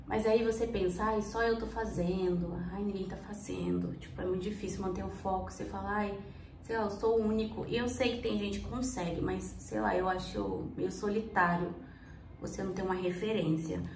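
A woman's voice: mid-range voice, steady tone, moderate pace, gravelly, a little mellow. Chatty young woman with a cold, roomy sound. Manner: chatty, rambling, self-questioning. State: has a cold. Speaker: vlogger. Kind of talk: casual chat while doing makeup. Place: small indoor room. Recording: roomy, slightly distant mic, faint hum.

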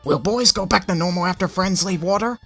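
A woman voicing a blunt young female yak who talks in broken, gruff phrases. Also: on the low side, fairly quick, fairly bright tone, faint music behind, voice pushed up. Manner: gruff, blunt, third-person speech.

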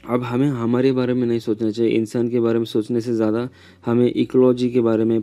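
A male English lecturer talks a class through a textbook chapter, reading each English sentence slowly before explaining it quickly. His voice is deep-toned and very mellow, and he keeps it close to flat, with little rise and fall. The sound is dry and close. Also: no room sound. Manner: reads English lines slowly, then explains in quick Hindi. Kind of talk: explaining a textbook lesson in Hindi, reading English lines aloud. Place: voice-over on a scrolled textbook page.

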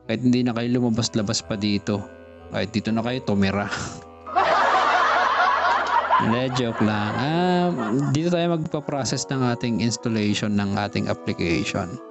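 A man's voice: lowish voice, normal speed, long pauses. A man with a Filipino accent giving a casual, joking step-by-step tutorial as host. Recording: muffled lo-fi with background music. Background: music and screen-reader speech.

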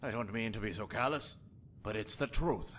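A man's voice: lowish voice, normal speed, fairly bright tone, a little hiss or hum, lightly acted. An actor playing a superstitious rustic husband and father in a video game, folksy, breezy and matter-of-fact about grim things. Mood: breezily macabre. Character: jovial superstitious rustic husband and father, callous, cheerfully macabre. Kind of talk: video game character acting.

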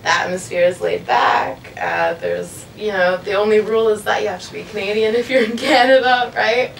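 A woman in her twenties answers questions casually and haltingly. Her voice is creaky at a middling pitch. The room echoes and the mic is a little distant. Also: expressive, slight background noise. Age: 20s. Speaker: interview guest, radio station creative director. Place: room with couches, amps and instruments.

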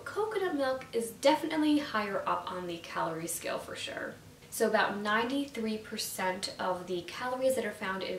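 Stuffy-nosed young woman vlogging from a sofa. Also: medium pitch. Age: young adult. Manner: chatty, lots of fillers like "you know" and "like". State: stuffy, slightly nasal from a cold. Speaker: YouTube nutrition vlogger. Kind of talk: casual explainer talking to camera. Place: indoors at home, seated on a sofa. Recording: roomy echo, distant mic, faint hiss.

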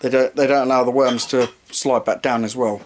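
A man's voice: medium pitch, fairly even intonation, fairly quick, slight background noise. A young man in his twenties walking through his fishing tackle to camera in a small room, casual and rambling, often correcting himself mid-sentence. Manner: rambling, self-correcting, offhand jokes about his knots and lighting. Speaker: fishing vlogger. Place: small indoor room with striped curtain. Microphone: camera mic at close range.

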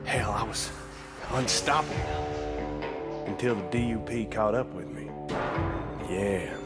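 Very deep, bitter convict. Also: male voice. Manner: world-weary, gritty, swearing tough talk. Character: bitter, hardened male escaped convict with powers. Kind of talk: video game cutscene narration. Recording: heavy music under the voice.

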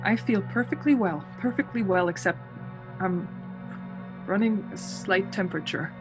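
A woman's voice: medium pitch, normal speed, music in the background, natural voice, poor audio. An actress playing a frightened young traveler who insists she is sane, in a suspense radio play, hesitating and repeating words. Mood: anxious, urgent.

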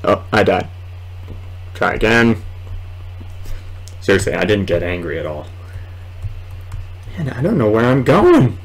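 A young man with a low voice hosts a casual gaming video from a small room at home. He rambles along slowly and haltingly, with a very lively range that can swing upward. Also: soft background music, rough recording. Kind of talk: casual let's play commentary.

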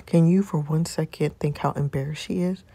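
Woman reading as protective angry mother, husky, mellow low timbre, emphatic, reproachful, storybook drama. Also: steady tone, normal speed, dry recording, heavily acted. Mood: bitter reproach. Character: fierce, protective mother scolding her grown son.

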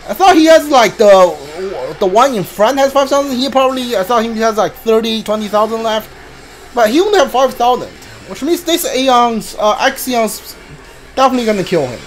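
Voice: mid-range voice, big pitch swings, normal speed, rough recording. A video game streamer giving casual commentary on a blind playthrough. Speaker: gameplay streamer. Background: game music behind the voice.

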